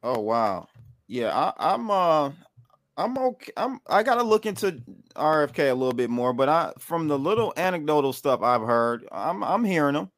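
A man's voice: fairly high pitch, very animated, normal speed, no room sound, studio quality. A man hosting a casual crypto livestream, easygoing and often repeating himself. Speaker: crypto channel host.

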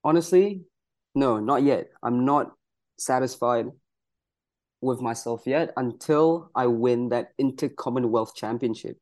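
Earnest young wrestler from Malaysia. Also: male voice, mid-range voice, unhurried, a little mellow. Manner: earnest, proud, many "you know" fillers. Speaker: pro wrestler guest. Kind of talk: casual interview answers.

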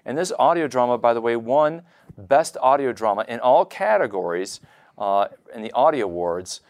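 A man's voice: medium pitch, lively intonation, normal speed, a little mellow, dry recording, clean studio sound. A man hosting a conversational interview podcast, leaning on and repeating words for emphasis. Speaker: podcast host.

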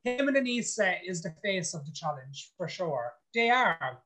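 A man's voice: sits high, sing-song, moderate pace, over music. A man, a reality show contestant, chatting in an interview in an outspoken, blunt way, swearing freely. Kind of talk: casual interview chat.

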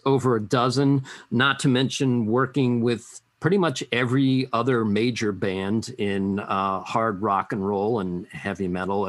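A middle-aged man hosting a rock podcast, introducing his guest in a deliberate, measured way. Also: mid-range voice, no room sound. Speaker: podcast host.

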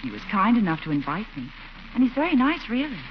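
Lively, genteel radio comedy lady. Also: a little high, fast, dull audio. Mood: firm, reproving. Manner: genteel, gently chiding. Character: proper, coy, firm lady friend, adult. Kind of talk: 1940s radio comedy acting. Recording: noisy band-limited old radio recording.

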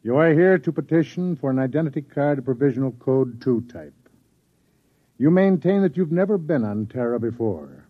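An actor playing a stern, suspicious government interrogator, formal and insinuating, in classic radio drama style. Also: male voice, mid-range voice, expressive, moderate pace, lightly acted. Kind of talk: old-time radio drama acting. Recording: thin phone-like old radio recording.

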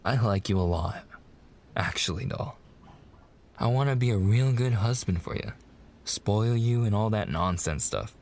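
A man's voice: lowish voice, measured pace, darkish tone, a little hiss or hum. A young man playing a smitten, protective mafia fiancé in a boyfriend ASMR roleplay, with slangy, hesitant, reassuring wiseguy talk. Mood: earnest, affectionate.

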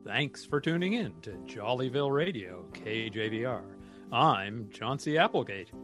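Man playing a very animated radio field reporter. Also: mid-range voice, normal speed, over music, natural voice. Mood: upbeat. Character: chipper male local radio field reporter. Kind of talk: comedic live radio broadcast.

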